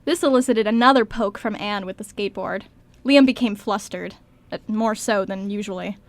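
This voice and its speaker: A woman's voice: medium pitch, moderate pace, no echo. A woman reading scripted story narration as the narrator of an amateur radio play.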